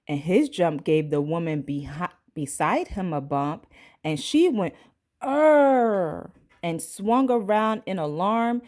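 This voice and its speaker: A woman reads a picture book to young children in the style of a preschool teacher. Her voice sits a little low and she takes her time, dramatizing the sound words and dialogue. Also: darkish tone. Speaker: pre-K teacher reading a picture book aloud. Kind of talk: storybook read-aloud for young children.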